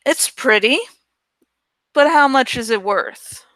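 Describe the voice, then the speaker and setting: A woman voicing a gruff, surly, calculating male village butcher in a storybook reading, curt and impatient. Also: sits high, animated, takes it slowly, a bit bright, no echo, full character voice. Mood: condescending.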